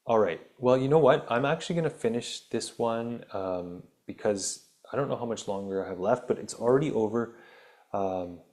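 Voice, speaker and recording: A man hosting a spiritual healing podcast, reading an article aloud and then rambling casually with many ums, his voice turning raspy. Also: medium pitch, expressive, moderate pace, a little mellow. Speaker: podcast host.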